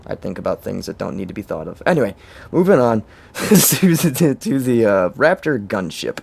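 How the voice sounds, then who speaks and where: Hoarse gravelly man narrating gameplay. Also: on the low side, big pitch swings, moderate pace, darkish tone. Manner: rambling, chuckling, many fillers. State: hoarse, lost voice. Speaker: game channel host. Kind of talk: casual gameplay walkthrough.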